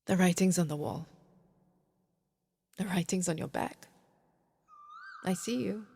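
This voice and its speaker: A hurt, anxious woman clings to her partner in a naturalistic audio drama. Her speech is conversational and halting, always gently probing for an answer. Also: fairly low pitch, vocal fry, slight background noise. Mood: mournful, poetic.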